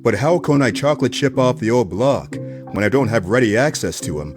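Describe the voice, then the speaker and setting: A man's voice: mid-range voice, animated, brisk, music in the background, close to an everyday voice. A man playing a dogged, wordy private detective with theatrical hardboiled delivery in a comedic noir radio play. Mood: brooding, theatrical. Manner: theatrical, hardboiled first-person narration and probing questions.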